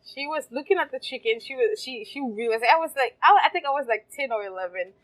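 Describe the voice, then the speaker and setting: A woman's voice: high voice, fast talker, low-quality recording. A woman, telling stories as a guest in a casual interview and laughing often. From Haiti. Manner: lively storyteller, laughs often. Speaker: guest, caterer and café owner. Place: farmers market.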